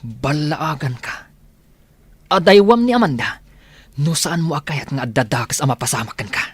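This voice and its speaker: A man's voice: on the high side, lively intonation, moderate pace, breathy edge, no echo. A man voicing a boastful, spoiled rich young man who laughs a lot, in an Ilocano radio drama. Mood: cocky. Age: young adult. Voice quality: cocky, laughs often. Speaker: college-age son.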